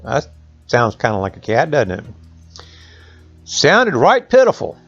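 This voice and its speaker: A man's voice: medium pitch, wildly swooping pitch, measured pace, fairly bright tone, husky, no room sound, muffled recording, faint music behind. A man telling a folksy tale to children in colloquial dialect. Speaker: storyteller. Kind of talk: folksy oral storytelling for children.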